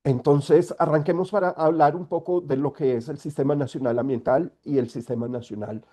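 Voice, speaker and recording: Hoarse-sounding man with a cold. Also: on the high side, fairly even intonation, mellow. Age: middle-aged. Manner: measured, explanatory. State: congested with a cold. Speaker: guest speaker, environmental lawyer. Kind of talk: online talk. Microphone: video call.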